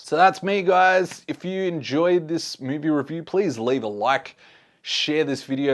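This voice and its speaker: Man, medium pitch, casual review host, conversational, opinionated. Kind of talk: casual video movie review to camera.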